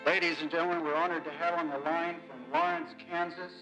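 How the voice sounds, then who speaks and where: A man's voice: a little high, level tone, fast, highs cut off. A man announcing a guest from the stage to an audience. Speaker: event announcer. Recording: loud crowd and music.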